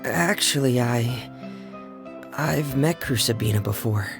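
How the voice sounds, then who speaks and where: An actor playing a subdued, thoughtful teenage boy in a video game, with a creaky, hesitant, earnest delivery. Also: mid-range voice, slow. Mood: somber. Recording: prominent game music behind voice.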